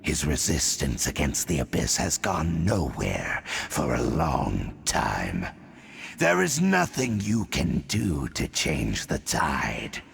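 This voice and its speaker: An actor playing a menacing monstrous herald of a dark order in a video game cutscene, speaking slowly and gravely with threats. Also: male voice, rumbling low, wildly swooping pitch, exaggerated voice. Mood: scornful.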